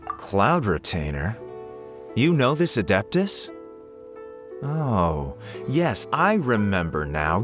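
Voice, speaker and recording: A man playing an arrogant, condescending scholar-official in a video game, speaking in formal, pompous phrases. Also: medium pitch. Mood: haughty. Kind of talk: video game character acting. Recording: game music playing faintly behind.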